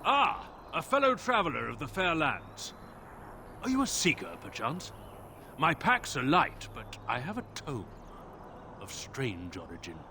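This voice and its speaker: A travelling trader in a fantasy video game, speaking in grand, archaic flourishes. His tone is bright and forceful, and his pitch swings dramatically, with menace under the courtesy. Faint noise sits behind him. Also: huge pitch swings, unhurried, full character voice. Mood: grandiose, sly. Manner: grandiose, theatrical, archaic phrasing. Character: flowery-spoken travelling trader, theatrical, with hidden menace.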